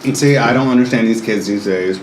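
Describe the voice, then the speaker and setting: A man in his thirties chatting casually as a guest, animated and laughing often, with many fillers. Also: medium pitch, fairly quick, background noise. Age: 30s. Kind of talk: casual chat. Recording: roomy indoor echo with faint ambience.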